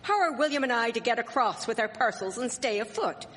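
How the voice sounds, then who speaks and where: Radio play: actress as sharp-tongued 1870s wife, formal, sarcastic, higher-pitched, performed live in a reverberant theatre. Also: female voice, animated, brisk. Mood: indignant. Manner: scolding, sarcastic, formal diction. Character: sharp-tongued, indignant Victorian village wife. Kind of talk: live radio drama acting. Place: performing arts theatre stage. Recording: echoey hall, live stage performance.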